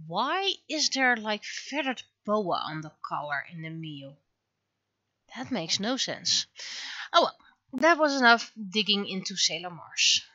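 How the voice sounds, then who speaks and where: Bright-voiced woman musing on a livestream. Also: mid-range voice, big pitch swings, measured pace, lo-fi sound. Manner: musing aloud to herself in short fragments. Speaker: livestreaming artist. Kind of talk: casual livestream chat while drawing.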